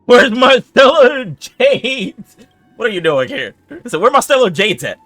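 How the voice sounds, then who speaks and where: A man's voice: very high voice, expressive, measured pace, a bit bright, soft background music. A man doing casual, slangy, profane commentary as he streams a game. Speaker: game streamer reacting to gameplay.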